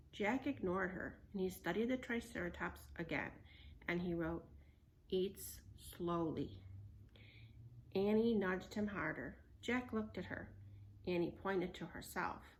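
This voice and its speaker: Slow-paced woman reading a children's story. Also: medium pitch, a little hiss or hum. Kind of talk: reading a children's book aloud.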